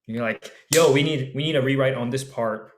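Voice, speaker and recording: Male actor, panel chat over video call, loose and animated, roomy echo. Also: medium pitch, fairly quick. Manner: loose and animated, full of fillers and restarts. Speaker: radio play actor. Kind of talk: casual panel discussion. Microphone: home setup over video call.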